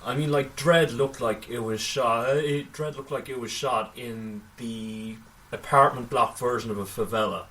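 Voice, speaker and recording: A man co-hosting a casual film news podcast, sometimes reading items out, with halting delivery and wry asides. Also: mid-range voice, steady tone, a bit bright. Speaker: podcast co-host. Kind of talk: casual film news discussion, partly read out. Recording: voice slightly distant from microphone.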